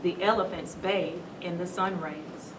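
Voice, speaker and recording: A woman reading a children's story aloud to schoolchildren in a teacherly, animated way, stopping to put questions to them. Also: lowish voice, a little slow. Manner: teacherly, asks the listeners questions. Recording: roomy echo, distant mic, noisy background.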